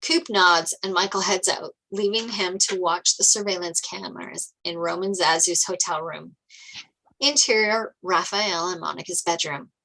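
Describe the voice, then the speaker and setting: A woman's voice: fairly low pitch, moderate pace, vocal fry, sharp tone, rough recording. A woman reading screenplay stage directions as scripted narration.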